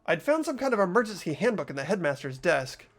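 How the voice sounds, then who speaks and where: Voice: medium pitch, sing-song, fast talker, soft background music. A performer voicing an earnest, hesitant, anxious teenage schoolboy investigator in a fan-dubbed game. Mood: curious, unsure. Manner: hesitant, questioning delivery. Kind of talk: fan voice acting of a visual novel game.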